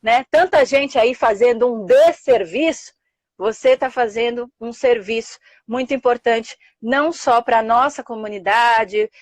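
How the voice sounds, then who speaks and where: Brazilian lady host on a live chat, pretty high voice, gushing thanks, dry sound. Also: unhurried, dry recording. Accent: Brazilian Portuguese. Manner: warm, effusive thanks.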